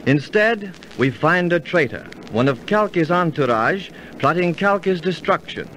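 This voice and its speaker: Formal know-it-all radio storyteller from the 30s, lively pitch, bit of noise. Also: male voice, unhurried. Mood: formal, instructive. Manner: formal, explanatory. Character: knowing, instructive male storyteller and dining companion. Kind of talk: 1930s radio narration and acting. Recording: slightly noisy 1930s radio recording.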